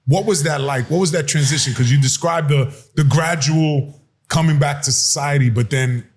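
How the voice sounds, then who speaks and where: A man's voice: a little high, moderate pace. A man from New York hosting a podcast interview in an informal, streetwise, conversational way. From New York, United States. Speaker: podcast host.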